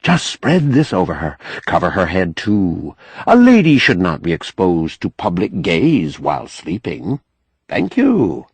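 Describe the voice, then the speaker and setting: A man's voice: low voice, huge pitch swings, unhurried, breathy edge, dry recording, heavily acted. A man voicing a stage magician with mildly puzzled, offhand showman's patter in an audiobook. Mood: coaxing showman. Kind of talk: audiobook character acting.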